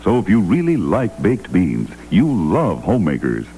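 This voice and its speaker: An American man reading a scripted 1960s radio advertising pitch. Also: wildly swooping pitch, moderate pace, dark tone, slight background noise. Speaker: commercial announcer.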